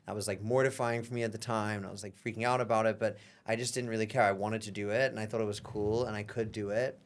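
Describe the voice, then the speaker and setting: A man's voice: fairly low pitch, steady tone, fast. A young man born in London, a guest talking casually in an interview, rambling with many fillers. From born in London, grew up in Europe. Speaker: guest, singer and artist.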